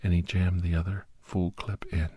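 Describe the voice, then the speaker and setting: A man playing a grave, anxious doctor and old soldier telling a battle story in hushed, halting, breathy ASMR narration that often drops into whispers. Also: low voice, level tone, no echo. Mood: tense, urgent. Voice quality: breathy, husky. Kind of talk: ASMR first-person dramatic story narration.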